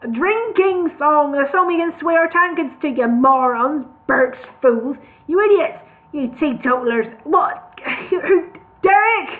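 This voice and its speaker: A woman voicing a loud, angry, fat male innkeeper who bellows and snarls at everyone. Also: sits high, lively intonation, takes it slowly, pitched above the performer's own voice. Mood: furious. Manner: shouting, snarling, vehement. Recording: tinny phone-like sound.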